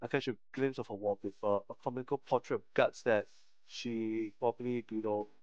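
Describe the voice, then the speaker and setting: A man's voice: on the low side, fairly even intonation, normal speed, darkish tone, no echo, highs cut off. A man live-streaming a visual novel, reading it aloud with slangy commentary and frequent stumbles over the text. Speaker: game streamer.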